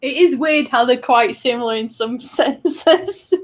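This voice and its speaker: A woman's voice: on the high side, a little slow. A woman from northern England chatting casually as co-host, teasing and laughing often. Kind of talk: casual chat.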